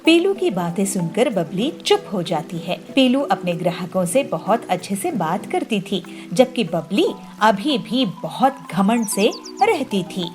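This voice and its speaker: A woman's voice: medium pitch, sing-song, measured pace, hardly any pauses, a little mellow, faint music behind. A woman storyteller reading a scripted children's story as narrator.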